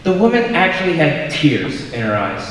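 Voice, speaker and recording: A young man acting a proud, combative patriotic soldier in a high school stage play, with emphatic delivery. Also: sing-song, normal speed, a bit bright. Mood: hearty, boastful. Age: young adult. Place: large school auditorium stage. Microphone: far camera. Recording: distant, echoey auditorium with faint noise.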